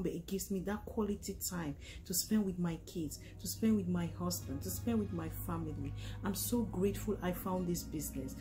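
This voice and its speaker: A woman's voice: lowish voice, warm tone. A woman from Cameroon giving a sincere, grateful personal testimonial. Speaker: healthcare worker.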